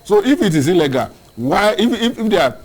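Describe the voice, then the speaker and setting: A man's voice: sits high, sing-song, a little fast. A Nigerian man co-hosting a newspaper review, opinionated and argumentative, firing off pointed questions. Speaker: co-host.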